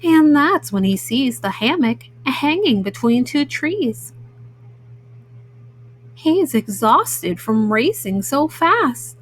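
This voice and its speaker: A woman reading children's bedtime stories aloud as a storyteller, in a gentle, motherly way. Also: sits high, sing-song, slow, rough recording.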